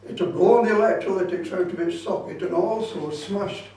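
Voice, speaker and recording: A man's voice: sits high, brisk, dark tone. A man giving an informal hands-on club talk, explaining things and repeating his safety warnings insistently. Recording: very echoey room, distant microphone.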